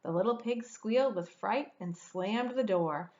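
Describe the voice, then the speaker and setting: A woman's voice: medium pitch, unhurried, darkish tone, dull audio. A woman in her forties reading a children's storybook aloud expressively, stretching words for dramatic emphasis. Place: indoor room at home.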